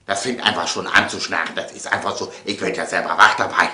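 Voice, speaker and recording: A man's voice: sits low, steady tone, quick pace, sharp tone. A man presenting in a casual, chatty way, rambling and emphatic, repeating phrases for effect. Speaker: presenter of his audio sketches. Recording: echoey room.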